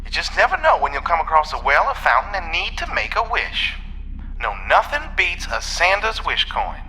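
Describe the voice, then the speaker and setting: Man as sassy robot sidekick, high male voice, campy, catty, animated, sci-fi audio comedy, background noise. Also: moderate pace, heavily acted. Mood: chatty. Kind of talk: comic sci-fi audio drama acting. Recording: clearly noisy background.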